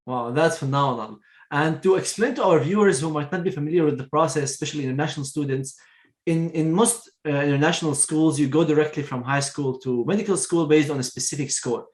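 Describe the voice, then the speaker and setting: Guy hosting an interview, kinda high voice, echoey room, really hyped about his guest. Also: quick pace. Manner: enthusiastic, praising the guest. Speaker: host. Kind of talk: video interview.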